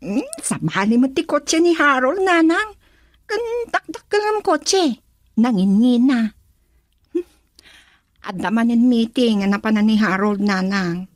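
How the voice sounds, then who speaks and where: Radio drama role: haughty young woman, Ilocano accent, high voice, close dry sound. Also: animated, unhurried, pauses often, dry recording.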